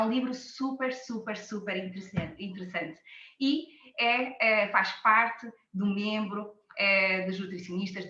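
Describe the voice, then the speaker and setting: A bright, forceful woman from France speaking Portuguese with a French-tinged accent. She hosts a live online health talk, repeating words for emphasis. The audio is roomy and dull, like a webinar call. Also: medium pitch. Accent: French-influenced Portuguese, shaped by years in Portugal. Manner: enthusiastic, repetitive emphasis.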